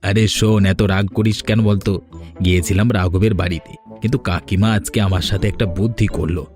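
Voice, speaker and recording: A sly village schemer dodges questions with teasing talk in a cartoon, voiced by a man. His voice sits rather low. Light music runs beneath. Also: steady tone, fairly quick, darkish tone, lightly acted. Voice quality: teasing, evasive. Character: sly greedy village schemer, talks in riddles. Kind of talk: Bengali cartoon voice acting. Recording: music under the voice.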